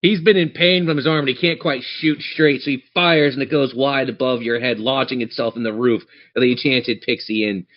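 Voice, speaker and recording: A man's voice: medium pitch, steady tone, a little fast, few pauses, lo-fi sound. A man narrating a tabletop fantasy game as game master, theatrical yet conversational.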